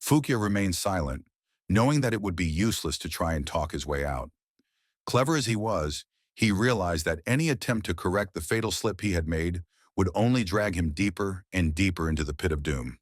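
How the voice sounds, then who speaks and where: A man narrating a classic story in a steady, measured reading voice. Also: sits low, dry recording, studio quality. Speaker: audiobook narrator.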